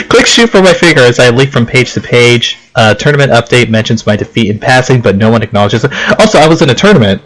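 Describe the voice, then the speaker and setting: A man's voice: medium pitch, sing-song, a little fast, a bit bright, low-quality recording. A man from Okinawa doing casual game commentary and reading on-screen text, in a rambling, self-deprecating, giggly manner. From Okinawa, Japan. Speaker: let's play host. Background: game music.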